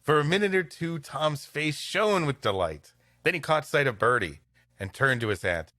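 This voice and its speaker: A male host reads storybook narration in a comedic show. His voice is high and bright, with very lively intonation and a dry, close sound. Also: normal speed, dry recording.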